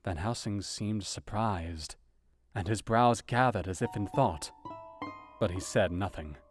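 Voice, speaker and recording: An actor playing an anxious, educated doctor, narrating his diary in an audio drama in a measured, formal, earnest way. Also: on the low side, natural voice. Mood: sombre, measured. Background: guitar music.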